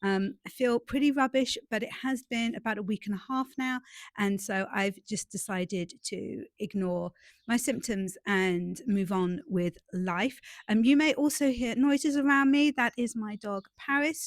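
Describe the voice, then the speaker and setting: A woman's voice: medium pitch, no echo. A woman with a stuffy, nasal voice from a cold, chatting casually and self-deprecatingly to camera outdoors in a garden. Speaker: romance author and YouTuber.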